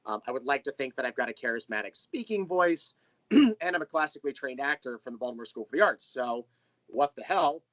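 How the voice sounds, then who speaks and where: A man's voice: medium pitch, animated, a little fast, fairly bright tone, no room sound, rough recording. An American man chatting casually as an interview guest, candid and self-deprecating in a rambling way. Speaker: interview guest, audio drama creator.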